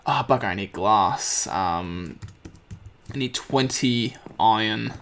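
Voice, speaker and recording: A man with a slightly croaky voice, casually commenting on his gameplay and thinking aloud as he crafts. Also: fairly low pitch, slow, a bit bright, slight background noise. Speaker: let's play host.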